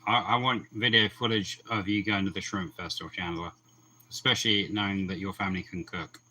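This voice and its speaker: A man's voice: low-pitched, fairly even intonation, brisk, sharp tone, slight background noise, low-quality recording. A man co-hosting a casual livestream, easygoing and teasing, sometimes repeating words as he starts. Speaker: co-host of an aquarium livestream. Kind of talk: casual chat.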